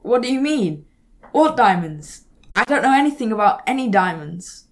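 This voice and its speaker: A woman's voice: on the high side, big pitch swings, normal speed. A young woman playing an anxious, whiny male accomplice to a thief in an amateur audio drama. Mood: nervous denial.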